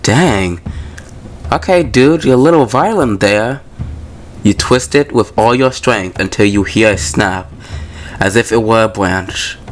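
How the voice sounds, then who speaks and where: A man's voice: on the low side, unhurried. Casual male gamer with noisy background. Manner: informal, slangy, reacting aloud to the story. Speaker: gaming video host. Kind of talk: casual let's play commentary mixed with reading game text aloud.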